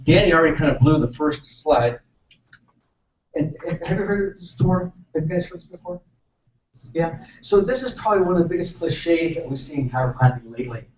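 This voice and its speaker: A man's voice: fairly high pitch, darkish tone. A man from San Francisco, a chiropractor, giving a casual, self-deprecating seminar talk and asking the audience questions. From San Francisco, California. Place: seminar hall. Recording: echoey hall, distant mic, dull sound, faint noise.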